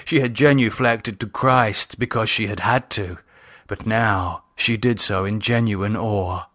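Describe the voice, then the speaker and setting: Measured man narrating horror fiction, dry recording. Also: lowish voice, fairly bright tone, no echo. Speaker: author and narrator.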